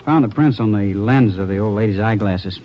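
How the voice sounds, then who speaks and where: A man's voice: lowish voice, brisk, close to an everyday voice. An actor playing an eager, chatty police fingerprint man, talking in short clipped phrases in a 1940s radio drama. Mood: eager, curious. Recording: muffled old radio recording, faint noise.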